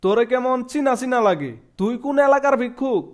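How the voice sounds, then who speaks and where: A man's voice: extremely high pitch, lively intonation, fairly quick. A man voicing a bossy, crooked beggar chief in a cartoon, pompous and speechifying, with a Bangladeshi accent. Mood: suspicious. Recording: music behind the voice.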